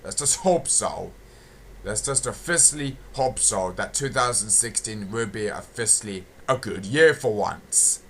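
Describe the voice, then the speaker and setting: Rambling young man, bright voice. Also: on the low side, lively intonation, unhurried, slight background noise. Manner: repetitive, meandering, many restarts and fillers. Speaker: channel host. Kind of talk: rambling audio log.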